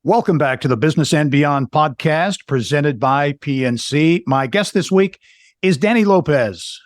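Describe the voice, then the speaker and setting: A man's voice: mid-range voice, no echo, studio quality. A man hosting a business podcast, introducing his guest and asking questions in a friendly, conversational way. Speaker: podcast host. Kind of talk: interview hosting.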